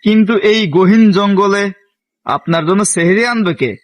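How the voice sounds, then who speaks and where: A man's voice: sits high, a little slow, no room sound. Man playing a bossy bandit chief. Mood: questioning, bossy. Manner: commanding, blunt.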